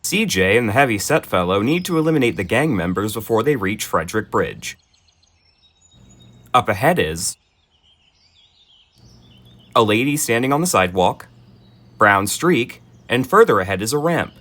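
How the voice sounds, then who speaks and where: A man narrating in a gentle, expressive children's storybook style, with dry asides. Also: fairly low pitch, moderate pace, pauses often.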